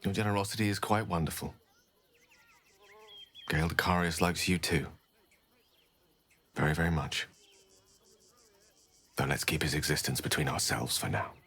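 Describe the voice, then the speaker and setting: An actor playing an eloquent, refined wizard in a video game, speaking articulately and theatrically. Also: male voice, extremely low pitch, fairly even intonation, normal speed, pauses often, fairly bright tone, slightly rough, heavily acted. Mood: tender.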